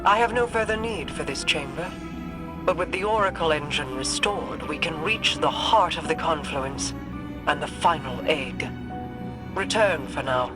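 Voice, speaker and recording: A woman's voice: low voice, animated, over music. A woman playing a regal, aloof queen in a video game, with a stately, solemn and reflective delivery. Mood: solemn, resolved. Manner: slow, stately, measured. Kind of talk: scripted game dialogue.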